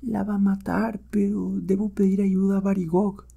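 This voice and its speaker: Man voicing a wary, hushed old ape. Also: high-pitched, flat delivery, normal speed, creaky, slightly rough, pitched above the performer's own voice, exaggerated voice. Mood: fearful. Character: cautious, fearful old male ape counselor.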